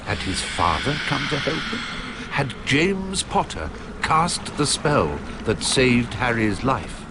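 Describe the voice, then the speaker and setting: A man narrating video game cutscenes in a scripted, storybook style, slow and dramatic. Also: medium pitch, big pitch swings, background noise. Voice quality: slow and dramatic, with big swings in the voice.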